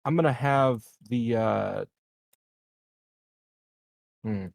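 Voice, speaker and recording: Man, mid-pitched voice, tabletop player, casual, halting, deliberate, very dry sound. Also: creaky, dry recording. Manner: halting, deliberate planning aloud. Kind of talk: casual game-table talk.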